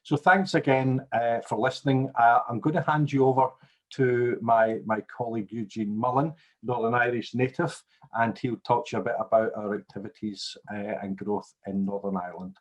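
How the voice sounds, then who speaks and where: A man's voice: medium pitch, steady tone, normal speed. A man, a director of a business organisation, giving a formal webinar presentation with upbeat promotional turns over a video call.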